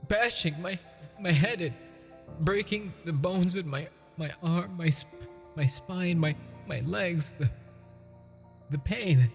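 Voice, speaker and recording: A man playing a lonely, tender ghost lover in a romantic ASMR roleplay, speaking haltingly and intimately in a breathy, husky voice. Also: fairly high pitch. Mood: anguished, pleading. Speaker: audio roleplay performer. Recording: long reverb with music behind.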